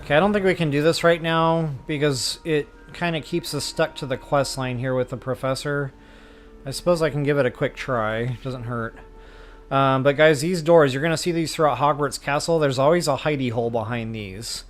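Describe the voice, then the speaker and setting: A man's voice: mid-range voice, moderate pace. A man giving a casual, folksy gameplay walkthrough, explaining things informally and thinking aloud as he plays. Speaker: game walkthrough host. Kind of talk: casual gameplay commentary, explaining as they play. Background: game music behind the voice.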